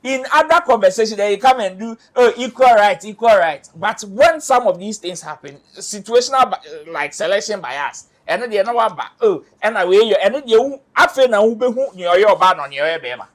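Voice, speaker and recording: This is a man with a Ghanaian accent and a high-set voice, talking in a studio. He argues with heat and keeps repeating his rhetorical questions to drive a point home. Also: animated, brisk, few pauses. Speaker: entertainment show panelist. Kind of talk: animated studio discussion.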